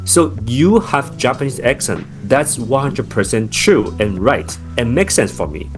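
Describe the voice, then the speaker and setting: A man's voice: mid-range voice, animated, measured pace, soft background music. Taiwanese-accented man, founder guest. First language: Chinese. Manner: thoughtful, many fillers and restarts. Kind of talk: podcast interview.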